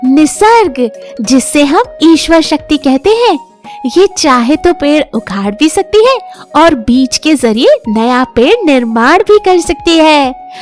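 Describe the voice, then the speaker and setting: A woman's voice: high voice, big pitch swings, takes it slowly, soft background music, pitched above the performer's own voice, heavily acted. A woman voicing a cheeky, fearless young male monkey who taunts and lectures in a children's animal fable. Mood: preachy. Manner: taunting, challenging, preachy.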